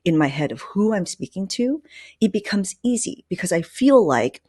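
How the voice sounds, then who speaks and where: A woman's voice: medium pitch, big pitch swings, normal speed, a little mellow, husky. A woman hosting a podcast, talking casually to listeners and her guest in an enthusiastic, emphatic way. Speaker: podcast host.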